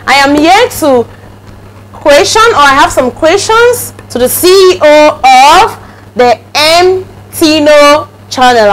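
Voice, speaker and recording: A woman's voice: high voice, a bit bright, a little hiss or hum, rough recording. A woman from Cameroon hosting a casual interview, chatty and prone to repeating words as she starts a sentence. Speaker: host.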